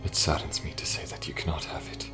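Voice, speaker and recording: A man playing a sorrowful, duty-bound immortal mage in a fantasy audio roleplay, solemn, measured and tender, in a breathy, half-whispered voice. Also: close to an everyday voice. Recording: music behind the voice.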